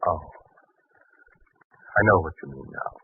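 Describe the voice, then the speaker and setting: An actor playing a troubled, doubting father in biblical times, grave, halting and earnest, in 1940s radio drama style. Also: very deep voice, husky, heavily acted. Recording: muffled old 1940s radio broadcast, phone-like.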